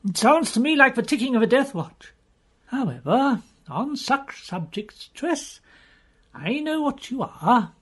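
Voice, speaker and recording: Man putting on an excitable, high-pitched fussy gentleman. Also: unhurried, slightly rough, full character voice. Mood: wondering. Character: excitable, fanciful gentleman puzzling over a mystery. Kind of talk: audiobook character voice.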